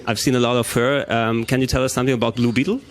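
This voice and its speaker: A man asking casual, unscripted interview questions in a halting way, feeling his way through each one. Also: medium pitch, fairly even intonation.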